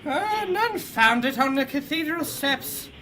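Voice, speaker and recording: A woman's voice: high voice, lively intonation, unhurried, pushed and bright, slight background noise, heavily acted. A woman playing a hard-drinking, melodramatic police officer in a parody pulp audio drama. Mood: matter-of-fact.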